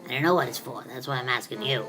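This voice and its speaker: A woman's voice: a bass voice, fast, music in the background, pitched below the performer's own voice, low-quality recording. A woman voicing a puzzled, grumbling pirate crewman in a homemade storybook skit.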